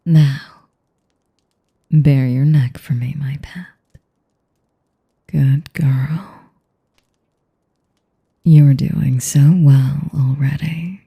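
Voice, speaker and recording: A woman playing a sadistic, seductive vampire mistress in an intimate roleplay, whispering in commanding, condescending, cooing endearments with a husky, breathy voice. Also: fairly even intonation, very slow, pauses often, warm tone, no room sound, exaggerated voice. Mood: predatory, coaxing. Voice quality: breathy, husky whisper with creaky low tones.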